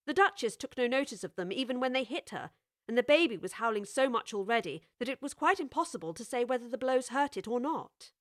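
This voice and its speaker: A woman narrating a storybook with clear, expressive scripted storytelling. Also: fairly high pitch, brisk, no room sound, clean studio sound.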